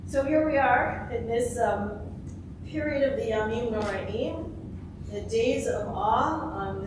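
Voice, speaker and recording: A woman rabbi giving a thoughtful sermon, her voice a little raspy and hoarse from talking, with many ums. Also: on the high side, background noise. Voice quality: slightly raspy. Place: synagogue sanctuary. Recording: echoey sanctuary from far off.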